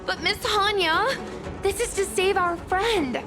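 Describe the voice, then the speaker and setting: A bold young heroine from a video game, earnest and hot-headed. Her voice sits very high and she stretches her words for drama, over a musical score. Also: slow, over music. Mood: worried, pleading. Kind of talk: animated video game voice acting.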